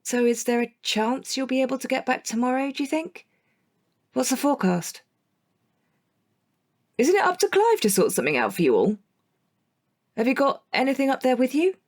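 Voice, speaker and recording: A woman's voice: high voice, sing-song, normal speed, pauses often. An actress with a British accent playing a blunt, sweary young woman in a naturalistic audio drama. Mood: worried, annoyed. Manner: informal, exasperated, sweary. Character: Rachel, blunt, sweary young woman missing her partner.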